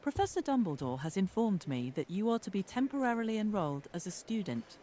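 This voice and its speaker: A stern schoolmistress of magic, played by a woman, formal and commanding. Her mid-pitched voice is strongly inflected, in audio drama style over faint noise. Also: very animated, brisk, mellow, lightly acted.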